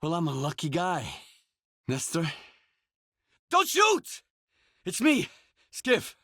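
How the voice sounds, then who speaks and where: An actor playing a gruff, cynical and weary stalker in a video game, terse and sardonic in manner, with a husky voice. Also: male voice, a little high, wildly swooping pitch, takes it slowly, pushed and bright, no room sound, clean studio sound, exaggerated voice. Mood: angry, heated. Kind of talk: video game voice lines, dramatic acting.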